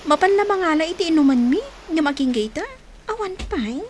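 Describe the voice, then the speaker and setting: A woman's voice: sits high, lively intonation, fairly quick, slight background noise. An actress with an Ilocano accent playing a sweet, shy, single young woman in a radio drama, speaking in a hesitant, coy way. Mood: flustered, shy. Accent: Ilocano, northern Philippines. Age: young adult.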